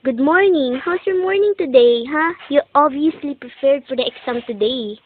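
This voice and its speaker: A cheerful, caring schoolgirl in a homemade cartoon, played by a woman. Her voice is high, and the recording is dull and lo-fi. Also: normal speed, close to an everyday voice. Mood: friendly, caring. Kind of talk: amateur animated cartoon dialogue. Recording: dull lo-fi microphone sound.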